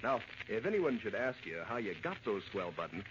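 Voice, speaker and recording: Lively American pitchman on muffled old radio. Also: fairly quick. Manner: folksy, enthusiastic, emphatic. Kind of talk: 1940s radio advertising, acted chat and sales pitch. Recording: muffled, noisy vintage radio recording.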